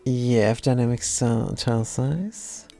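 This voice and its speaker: A man with a German accent and a dark, gravelly voice talks through code at a desk mic, half-finishing his sentences. He is wry and self-deprecating, with faint music under him. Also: mid-range voice, slow. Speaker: live-streaming coder. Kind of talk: thinking aloud while coding, chatting with viewers.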